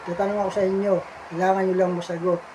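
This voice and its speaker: A man's voice: high voice, level tone, fast, background noise. A man casually hosting a puppet show, addressing the audience in a friendly, repetitive way. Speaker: puppeteer host.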